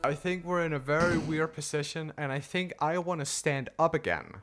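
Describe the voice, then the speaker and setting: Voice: mid-range voice, normal speed, fairly bright tone. A streamer giving casual, off-the-cuff gameplay commentary. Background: game music.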